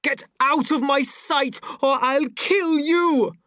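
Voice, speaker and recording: A woman doing a furious shouting young man. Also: a little high, expressive, slow pace, dry recording, studio quality, voice pushed up.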